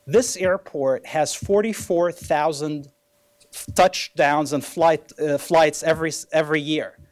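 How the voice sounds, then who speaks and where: A man's voice: on the high side, steady tone, slow pace. A man speaking as a county law director, giving a formal legal briefing at a press conference.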